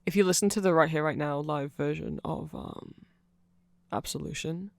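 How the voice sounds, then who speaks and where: A performer voicing a nerdy, awkward, eager vampire friend in a rambling, hesitant ASMR roleplay, halting and self-conscious. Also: mid-range voice, creaky, dry recording, lightly acted. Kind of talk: rambling ASMR roleplay, explaining fan lore to a listener.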